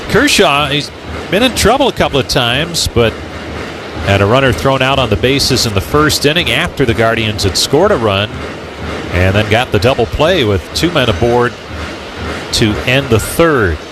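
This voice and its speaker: A man's voice: medium pitch, sing-song, normal speed. A man doing live radio play-by-play commentary of a baseball game as the announcer. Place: ballpark broadcast booth. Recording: ballpark outdoor background noise. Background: ballpark ambience, noise.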